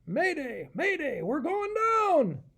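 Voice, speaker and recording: A man voicing an excitable, reckless young raccoon inventor in an eager storybook read-aloud. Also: extremely high pitch, slow pace, voice pushed up, exaggerated voice. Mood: panicked. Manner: eager, exclamatory.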